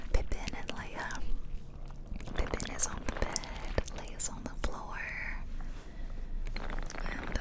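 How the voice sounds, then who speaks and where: A woman speaks entirely in a soft whisper, close to an ASMR microphone. She rambles in an informal, chatty way, as if narrating casual gameplay from a bedroom at home. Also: low-quality recording. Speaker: ASMR video creator. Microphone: close ear-to-ear ASMR microphone.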